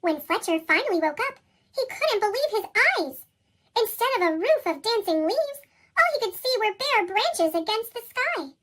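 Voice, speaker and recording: Female storybook narrator, chipmunk effect, extremely high pitch, very lively and expressive read-aloud. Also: moderate pace.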